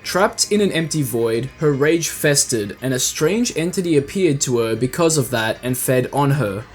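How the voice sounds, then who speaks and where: A man's voice: mid-range voice, animated, normal speed, soft background music. A man narrating a scripted video essay as a YouTube host, conversational with playful asides.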